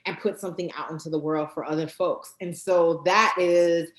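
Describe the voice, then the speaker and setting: A woman guest in a casual interview, talking with lively energy, many fillers and quick restarts. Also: on the low side, echoey room. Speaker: guest, card deck creator.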